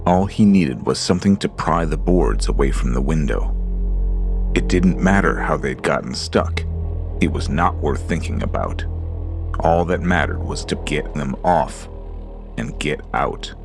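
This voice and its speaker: A man with a very deep, gravelly voice reads a horror story slowly and ominously. Creepy music plays underneath his narration. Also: lively intonation.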